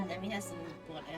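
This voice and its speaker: A woman livestreamer chatting casually over a game, with hesitant little asides. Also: fairly low pitch. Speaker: livestreamer, virtual YouTuber. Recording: game music behind the voice.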